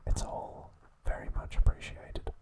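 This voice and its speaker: A man whispers softly and breathily, as an ASMR host does. His delivery is very slow and gentle, broken into short, soothing phrases meant for relaxation. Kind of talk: whispered ASMR trivia narration and sign-off.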